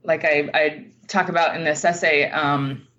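A woman's voice: deep voice, steady tone, measured pace, fairly bright tone. An American woman from Utah, a writer and teacher, talking casually as an interview guest. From Utah, United States. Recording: dull lo-fi line, faint background noise.

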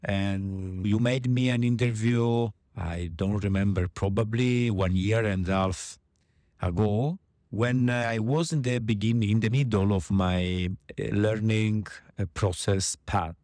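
A man from Italy with an Italian accent, a non-native speaker leaving a hesitant voice message full of pauses. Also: fairly low pitch, darkish tone, no room sound, studio quality. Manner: hesitant, many pauses and fillers, searching for words. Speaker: listener sending a voice message. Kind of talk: recorded voice message.

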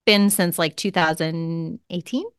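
A woman's voice: on the low side, measured pace, clear, resonant tone, no room sound, clean studio sound. A woman co-hosting a podcast in casual chat from her home office, wry and self-mocking, laughing often. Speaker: podcast co-host.

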